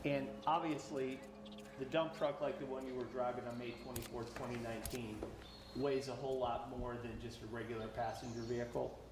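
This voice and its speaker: A man's voice: medium pitch, steady tone, a little fast. A man working as an attorney, methodically asking repetitive leading questions in a deposition. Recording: roomy, distant, noisy deposition recording.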